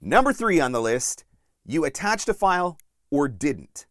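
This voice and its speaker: A man giving instructional tips as a voice-over coach, speaking directly and emphatically with deliberate stress on key points, close on the mic. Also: a little high, big pitch swings, a bit bright, no echo. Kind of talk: instructional video talk to camera, numbered tips.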